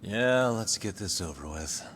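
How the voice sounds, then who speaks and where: A man's voice: lowish voice, animated, a little slow, a bit bright, slight background noise. A man playing a composed adult detective in a video game dub, dry, unruffled and wryly skeptical. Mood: stern. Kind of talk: video game cutscene English dub acting.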